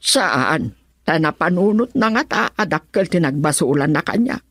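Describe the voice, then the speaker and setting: Stern, husky-voiced Filipino mother. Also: low-pitched, animated, normal speed, fairly bright tone, no echo, clean studio sound, exaggerated voice. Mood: indignant recounting. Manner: scolding, emphatic. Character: stern, aggrieved mother confronting and protecting her pregnant daughter. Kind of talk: Ilocano radio drama acting.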